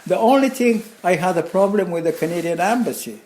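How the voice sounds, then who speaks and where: Older Bulgarian-accented man, mellow higher voice. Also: slight background noise. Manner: halting, rambling, animated anecdotes with chuckles. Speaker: interview guest, craftsman and designer. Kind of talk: casual conversational storytelling.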